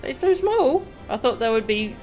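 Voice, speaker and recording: A woman's voice: sits high, normal speed. A woman streaming a game with casual, exclamatory reactions, sometimes reading dialogue aloud, with music behind her. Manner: expressive, exclamatory.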